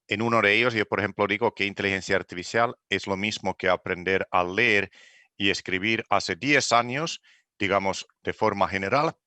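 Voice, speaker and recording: A man from Finland gives a keynote talk in a language he learned later. His voice sits a little low but sounds bright, and he pauses on fillers and restarts phrases as he goes. The sound is close and dry. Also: dry recording. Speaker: keynote speaker. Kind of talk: conference presentation.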